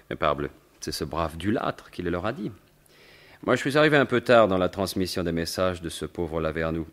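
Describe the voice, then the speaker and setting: An actor playing a suave, mocking gentleman thief in a 1950s-style French radio mystery, with a sardonic, assured, theatrical delivery. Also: deep voice, animated, moderate pace. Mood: calm, triumphant.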